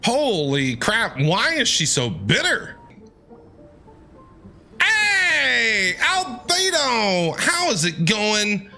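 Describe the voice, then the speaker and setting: Animated, bright-voiced male game streamer. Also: high-pitched, very slow. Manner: animated, gushing, playful. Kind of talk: excitable gameplay commentary. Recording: game music behind the voice.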